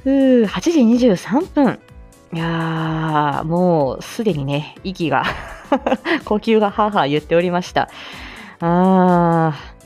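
A woman's voice: on the low side, animated, slow pace, darkish tone, soft background music. A woman hosting a casual morning live chat, chatty and giggly, politely explaining and slipping in playful asides. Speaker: live audio show host; speech-language therapist.

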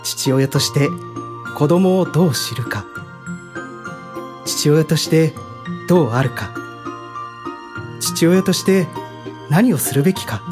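A man's voice: on the high side, animated, slow pace, pauses often, over music. An actor playing an earnest, polite young man in a radio drama, speaking sincerely and respectfully. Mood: sincere, grateful. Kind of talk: Japanese radio drama acting.

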